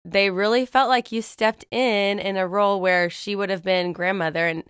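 A woman's voice: medium pitch, fairly even intonation, moderate pace, fairly bright tone, clear, resonant tone, dry recording. Casting: upbeat woman podcast host, eager questions, close dry sound. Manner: enthusiastic, affectionate, asks questions. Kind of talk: casual interview chat.

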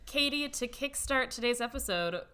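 A woman's voice: on the high side, animated, moderate pace, pushed and bright, clean tone. A young woman co-hosting a podcast, bubbly and upbeat, talking casually with lots of "like". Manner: bubbly, upbeat, says "like" a lot. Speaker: podcast co-host. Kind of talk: casual chat.

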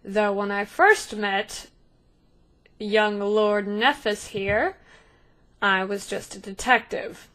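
A woman's voice: medium pitch, takes it slowly, long pauses, a bit bright. A woman voicing a tall half-elf male guard captain in a tabletop game, speaking with dry, clipped formality and wry remarks.

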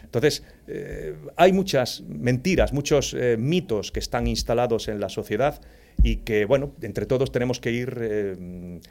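Male interview guest, enthusiastic rambler, mid-pitched. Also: lively intonation, measured pace, darkish tone. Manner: passionate, rambling, lots of fillers. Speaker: cybersecurity guest. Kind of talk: casual interview talk.

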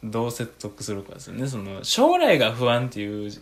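A man co-hosting a casual advice chat, speaking politely in halting bits with many short affirmations. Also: mid-range voice, wildly swooping pitch. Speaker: podcast co-host giving advice.

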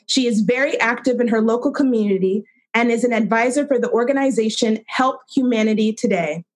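A woman's voice: a little high, fairly quick. A woman hosting a storytelling event, formally reading out a speaker's introduction and list of credentials. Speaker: event host.

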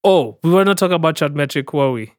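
A man's voice: a little high, animated, quick pace, no room sound, clean studio sound. A young man co-hosting a podcast in casual chat, asking questions and steering the talk back on track. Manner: inquisitive, steers the talk, reads out chart details. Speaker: podcast co-host.

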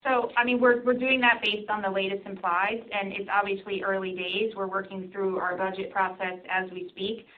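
A woman with a medium-pitched, very even voice answers financial questions as an executive. She is careful and matter-of-fact. The audio is narrow, like a telephone conference call. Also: normal speed, hardly any pauses. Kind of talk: unscripted earnings call answers. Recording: thin phone conference line.

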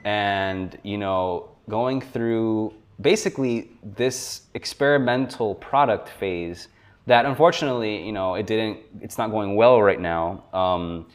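A young man with a fairly low voice chats as a guest on a tech podcast. He is from South Florida and talks in a loose, rambling way, with frequent laughs and filler words. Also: slow. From South Florida, USA. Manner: relaxed, rambling, laughs often. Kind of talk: casual conversation.

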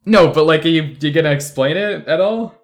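A man's voice: a little high, brisk. A man chatting informally and bantering with friends as a podcast host, in a casual review discussion. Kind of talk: casual group review chat.

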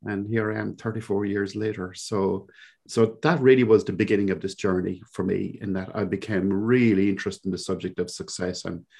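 A man's voice: low voice, creaky, a little mellow, husky. A man around sixty with a Cork Irish accent, a podcast guest who talks in a reflective, rambling way full of fillers. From Ireland. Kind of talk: conversational interview.

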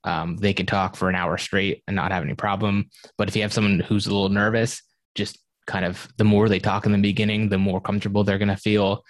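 A man on a podcast panel, explaining in a relaxed, practical way how he prepares guests. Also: fairly low pitch, fairly quick, no room sound. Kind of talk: casual panel chat.

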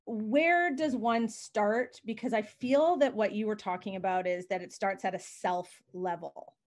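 A woman's voice: medium pitch, a little slow. A woman hosting a podcast, asking friendly, conversational questions. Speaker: podcast host. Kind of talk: podcast interview.

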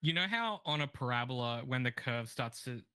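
A man's voice: medium pitch, lively intonation, brisk, pushed and bright, smooth, no echo. A man co-hosting a comedy podcast, talking in a rambling, self-deprecating way. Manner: rambling, self-deprecating, full of fillers and restarts. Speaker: podcast co-host. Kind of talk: casual comedic chat.

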